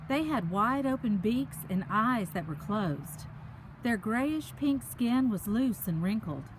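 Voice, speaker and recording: A woman reads a picture book aloud to children while walking outside in a park, adding chatty explanations and questions for her young listeners. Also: a little high. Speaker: picture book reader and host. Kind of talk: reading a children's story aloud with chatty asides. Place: outdoors, walking along a park path. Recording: noisy outdoor ambience while walking.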